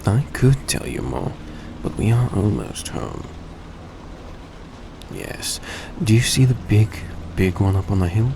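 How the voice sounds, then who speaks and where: A man playing a courteous, gentle vampire in an intimate audio roleplay, speaking politely and a little hesitantly to the listener. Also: lowish voice, unhurried, creaky. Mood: hesitant, caring. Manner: soft-spoken, polite, hesitant, formal phrasing. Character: courteous, gentle male vampire repaying a debt. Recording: steady background noise behind voice.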